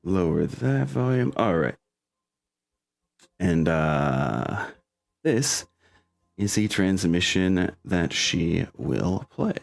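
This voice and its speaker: A man narrating a tabletop game as game master, with a halting, hesitant delivery. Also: low voice, sing-song, creaky.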